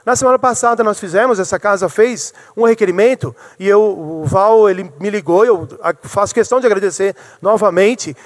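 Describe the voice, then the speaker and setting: A man in his forties with a notably high voice gives an earnest speech from a podium microphone in a council chamber. He asks himself rhetorical questions and restarts phrases as he goes. The hall leaves a long reverb behind every line. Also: fairly quick, hardly any pauses. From Brazil. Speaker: city councilman.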